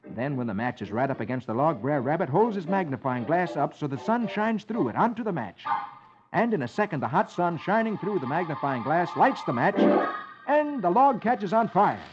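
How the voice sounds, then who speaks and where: A man's voice: fairly high pitch, sing-song, moderate pace, noisy background. An American man hosting a 1950s children's radio show, narrating comics in a dramatic storyteller's manner. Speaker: host reading the comics. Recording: muffled old radio recording, music behind.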